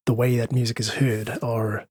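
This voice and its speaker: Male podcast guest, husky mid-range voice, articulate explainer, dry sound. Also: mid-range voice, flat delivery, moderate pace, darkish tone, dry recording. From South Africa. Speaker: podcast guest, independent musician. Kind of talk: thoughtful interview talk.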